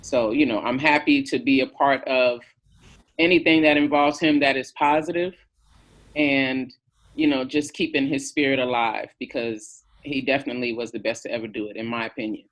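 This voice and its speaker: A woman in her fifties, answering an interview question in a thoughtful, unhurried way with many fillers. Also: extremely low pitch, fairly even intonation. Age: 50s. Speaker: interview guest, family member.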